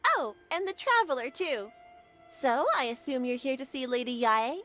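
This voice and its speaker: A woman's voice: high voice, expressive, normal speed, a bit bright, faint music behind. A woman voicing a cheeky young woman in a video game, teasing and familiar in manner. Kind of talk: video game dialogue acting.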